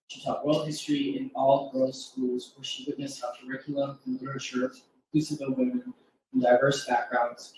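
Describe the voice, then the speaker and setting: A man's voice: mid-range voice, a little mellow, far from the mic. A man speaks as an event host, giving a prepared introduction. His voice rings in a very echoey room and sits away from the microphone. There is faint noise in the recording.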